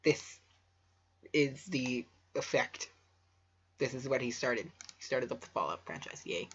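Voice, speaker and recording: A man's voice: mid-range voice, lively intonation, unhurried, fairly bright tone, breathy edge. A man reading a story aloud casually for a video, stumbling over words and breaking off into offhand asides. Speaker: amateur fan fiction reader and video creator. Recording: dull lo-fi home recording.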